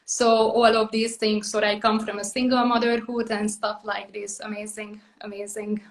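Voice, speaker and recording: A woman's voice: mid-range voice, level tone, normal speed, rough recording. A woman in her thirties from Hungary with a Hungarian accent, hosting a casual interview and filling her talk with "like" and "basically". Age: 30s. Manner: many "like" and "basically" fillers, loose phrasing. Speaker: podcast host.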